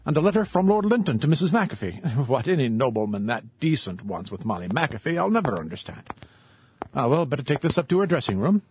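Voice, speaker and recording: A man's voice: medium pitch, big pitch swings, brisk, a little mellow, husky. An actor playing a nosy, grumbling theatre errand man in a radio drama, wryly muttering to himself.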